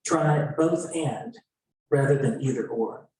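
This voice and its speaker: Guy reading group rules out loud in short measured phrases, really echoey, kinda far from the mic. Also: mid-range voice, a little hiss or hum. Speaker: event co-facilitator. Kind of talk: reading prepared discussion guidelines aloud. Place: indoor event room. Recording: very echoey room, distant mic.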